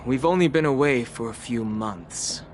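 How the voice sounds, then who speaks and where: A man voicing a composed, thoughtful traveler in a fantasy game, speaking in a measured, articulate way. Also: medium pitch, animated, slight background noise, close to an everyday voice. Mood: mildly exasperated. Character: composed, thoughtful adult male traveler companion. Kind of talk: voice acting in a fantasy game cutscene.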